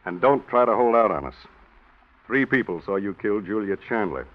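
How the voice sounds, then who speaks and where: An actor playing a stern police lieutenant questioning a suspect, hard and probing, in an old radio drama. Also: male voice, lowish voice, expressive, moderate pace, natural voice. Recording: thin tinny old radio recording.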